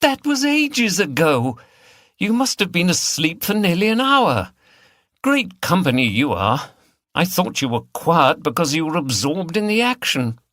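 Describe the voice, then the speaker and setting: A man voicing a brisk, frank woman who teases and chides her partner in an audiobook story. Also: fairly high pitch, sing-song, a bit bright, no echo, studio quality, pitched above the performer's own voice. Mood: mock annoyed. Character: brisk, teasing woman, partner of a weary detective.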